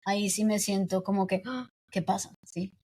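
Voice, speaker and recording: A young woman raised in the United States, a podcast guest speaking reflectively in a casual interview, pausing often with fillers. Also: medium pitch, fairly even intonation, mellow, breathy edge. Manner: reflective, hesitant with many fillers.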